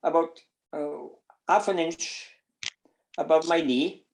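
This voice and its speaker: Male interview guest, seventies, conversational, halting delivery with repeated words. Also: a little high, fairly even intonation, creaky, a little hiss or hum, poor audio. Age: 70s. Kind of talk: casual recollection in conversation.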